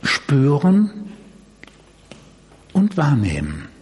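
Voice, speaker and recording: A man guiding a body-awareness meditation, giving gentle instructions in short phrases with long pauses. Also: on the high side, wildly swooping pitch. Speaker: meditation guide. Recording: echoey hall, distant mic, noisy.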